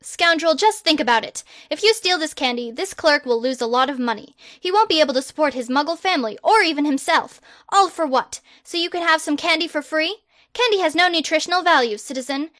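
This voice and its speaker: A woman's voice: high-pitched, animated, a little fast, a bit bright, no echo, clean studio sound, voice pushed up. A woman voicing a pompous, self-proclaimed boy wizard hero who makes grandiose, bossy proclamations.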